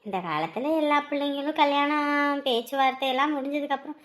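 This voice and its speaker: A reasonable village woman voiced by a woman in a family drama, with a high voice. She gently challenges and questions in a tolerant way. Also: a little fast. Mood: mildly reproving.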